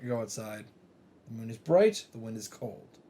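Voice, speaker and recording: Casual mellow-voiced man doing let's play commentary. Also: on the low side, sing-song, normal speed, slightly rough. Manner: casual, swearing, reacting aloud. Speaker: let's player. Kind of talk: let's play commentary and reading of game text. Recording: music playing behind. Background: game music.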